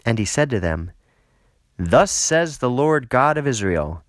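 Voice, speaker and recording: Slow-paced man reading scripture. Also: medium pitch, sing-song, dry recording, studio quality. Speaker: audiobook reader.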